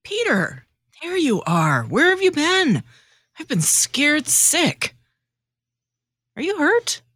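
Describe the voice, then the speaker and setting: A woman's voice: high-pitched, huge pitch swings, slow, a bit bright, no echo, exaggerated voice. A woman acting an anxious, caring, awkward male disciple in a radio sitcom, comic and slangy. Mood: worried. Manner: comic, hesitant, casual modern slang.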